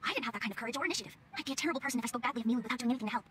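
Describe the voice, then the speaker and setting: A woman's voice: a little high, rapid-fire, noisy background, lightly acted. A woman playing a humble, regretful theater colleague in a video game, sincere and self-critical.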